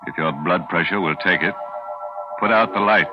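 A man's voice: sits low, normal speed. A man hosting a 1940s radio horror show, with sardonic, macabre humor. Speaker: host. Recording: dull old radio broadcast with music.

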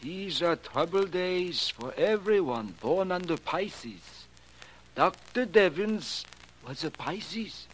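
Foreign handyman in an old radio play, slow broken english, foreign accent, hissy clicky recording. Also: high voice, lively intonation, fairly bright tone, heavily acted. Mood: insistent, mystical. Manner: stilted, broken English with simple present tense. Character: foreign observatory handyman who believes in astrology. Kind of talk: 1940s radio acting.

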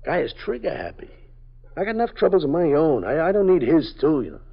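An actor playing a nervous, evasive small-time parolee who stammers and pleads, in a 1950s radio drama. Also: male voice, a little high, big pitch swings, fast talker, creaky, exaggerated voice. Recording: dull lo-fi old radio broadcast.

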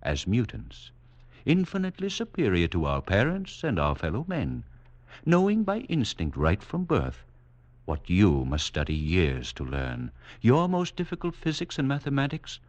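An actor playing a serenely superior mutant doctor, lecturing in a lofty, condescending 1940s radio manner. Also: male voice, deep voice, highs cut off, heavily acted. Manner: condescending, lofty, measured, didactic.

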